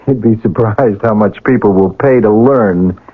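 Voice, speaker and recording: An actor playing a cocky, reckless young card-sharp in a radio drama, breezy and boastful. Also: male voice, lowish voice, slow. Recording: tinny old radio broadcast.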